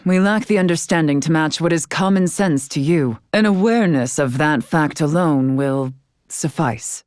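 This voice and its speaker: Stern scholarly fantasy noblewoman, lowish pitch, formal archaic diction, measured delivery, video game dialogue, close dry sound. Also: darkish tone, dry recording.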